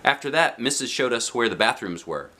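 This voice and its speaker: A man reading a children's storybook aloud in an expressive narrating style with short, simple phrases. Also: medium pitch, brisk. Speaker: storybook reader.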